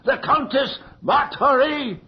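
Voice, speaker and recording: A man's voice: very high-pitched, slow. An actor playing a haughty, domineering magistrate of the king in a radio horror drama, speaking in formal, pompous phrases. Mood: outraged, commanding. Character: stern, haughty magistrate of the king, contemptuous of peasants. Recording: dull, noisy old radio recording.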